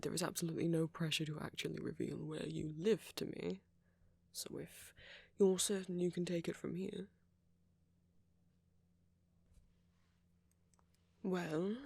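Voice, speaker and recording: Husky, creaky flirty gentleman vampire played by a man. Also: a little high, no room sound, full character voice. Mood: playful, reassuring. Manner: soft-spoken, apologetic, rambling, politely flirtatious. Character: friendly, flirty, gentlemanly male vampire, chatty and considerate. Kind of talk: intimate ASMR roleplay.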